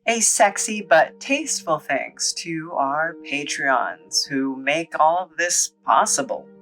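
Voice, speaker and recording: Bright, echoey voice of a playful reviewer. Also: medium pitch, sing-song, slow pace. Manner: playful, tongue-in-cheek. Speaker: host of a comic book review show. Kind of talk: casual scripted review with asides. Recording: echoey, distant microphone, music underneath. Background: music.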